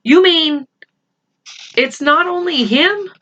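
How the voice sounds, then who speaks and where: A woman voicing an excited, impatient young boy, full of eager questions, in a children's storybook reading. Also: extremely high pitch, slow pace, muffled recording, voice pushed up, full character voice. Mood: excited, incredulous.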